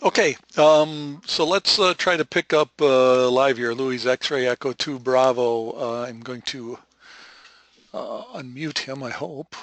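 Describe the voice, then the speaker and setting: A man's voice: mid-range voice, slow, a bit bright, no echo. A man moderating an online session informally as its host. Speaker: webinar host.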